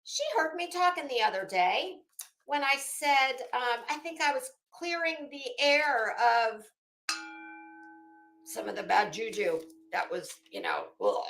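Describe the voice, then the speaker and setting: Woman, high voice, echoey room, casual rambling vlog host, theatrical, sassy, foul-mouthed. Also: sing-song, a little slow, fairly bright tone. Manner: sassy, salty-tongued, theatrical. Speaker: YouTube host.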